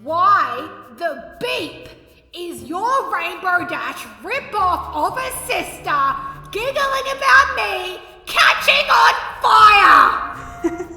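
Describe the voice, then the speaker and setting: Woman as a hot-tempered female pony, very high, slow drawn-out delivery, blunt, cartoon audio drama. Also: expressive, pushed and bright, soft background music, exaggerated voice. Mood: indignant. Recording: roomy reverb.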